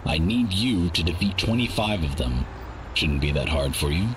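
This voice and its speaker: A man voicing a cold, dismissive robot contract broker with a deadpan manner in a game. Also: low-pitched, moderate pace. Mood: indifferent. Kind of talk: animated game cutscene acting. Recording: clear noise behind the voice.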